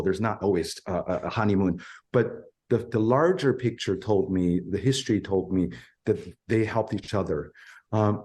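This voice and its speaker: A man's voice: fairly low pitch, warm tone. A middle-aged man from China with a Chinese accent, answering in an interview in an earnest, emphatic way, telling his story in short phrases. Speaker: violin professor and interview guest.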